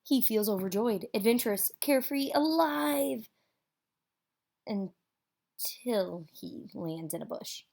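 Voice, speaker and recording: Mellow woman reading a children's storybook. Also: a little high, expressive, gravelly. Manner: theatrical, with dramatic pauses and emphasis. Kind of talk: expressive children's picture book read-aloud.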